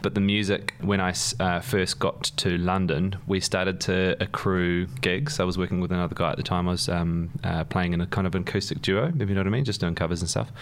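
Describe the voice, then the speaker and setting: A young man with a New Zealand accent talks as a podcast guest, his voice very low. He rambles casually, filling gaps with ums and laughing easily. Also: little pitch movement, fairly quick, hardly any pauses, slight background noise. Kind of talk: casual conversation.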